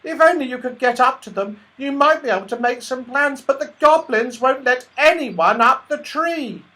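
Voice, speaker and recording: A man's voice: extremely high pitch, animated, normal speed, pushed and bright, voice pushed up, full character voice, rough recording. A man voicing a tiny red squirrel in a children's storybook, with a thin voice and an urgent, worried manner. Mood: sad, earnest. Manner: agitated, urgent explaining. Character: tiny little red squirrel, anxious messenger.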